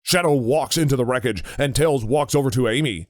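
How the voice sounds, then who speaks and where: A man's voice: medium pitch, fairly quick, no room sound, studio quality. A man narrating a scripted audio drama story. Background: music.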